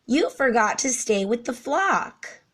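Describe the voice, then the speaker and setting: Woman as excitable flamingo, high-pitched, squawky, fussy, insistent, animated storybook character. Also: slow, breathy edge, exaggerated voice. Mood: alarmed, fussy. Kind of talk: children's storybook read-aloud.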